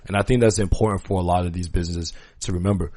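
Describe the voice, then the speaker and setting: A man's voice: deep voice, fairly even intonation, racing, warm tone, dry recording, clean studio sound. A man hosting a podcast, talking casually and earnestly in slangy language, giving his opinions and repeating points for emphasis. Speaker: podcast host. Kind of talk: casual conversational podcast talk.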